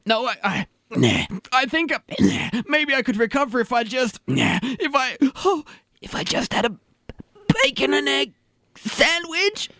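A man playing a miserable hungover man in a comedy audio drama, groaning and whimpering in halting phrases. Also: very high voice, huge pitch swings, gravelly, bright, forceful tone, no room sound, studio quality, pitched above the performer's own voice, full character voice. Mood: miserable, pleading.

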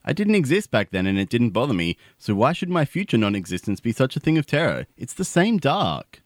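A man's voice: mid-range voice, very animated, a little fast, no room sound, clean studio sound, close to an everyday voice. A man playing a cocky, vain know-it-all in a comedy audio drama, glib and needling as he lectures. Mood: reflective.

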